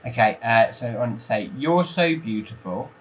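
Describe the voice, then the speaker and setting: A man making prank phone calls in a deadpan, slightly hesitant way. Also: mid-range voice, moderate pace, slight background noise. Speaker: prank caller. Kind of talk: casual phone prank chat.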